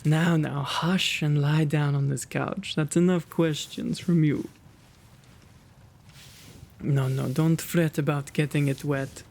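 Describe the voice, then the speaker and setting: Kindly vampire lord of the manor, played by a man, ASMR roleplay: genteel and quaint, slow delivery, gravelly creak. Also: fairly high pitch, steady tone, a little mellow, heavily acted. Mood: genial, doting. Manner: genteel, old-fashioned, fussing and flustered diction. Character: kind, quaint gentleman vampire, lonely host of old mansion.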